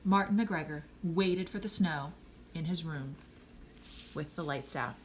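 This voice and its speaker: Expressive woman reading a children's story. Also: deep voice, unhurried, a little hiss or hum. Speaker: storybook reader.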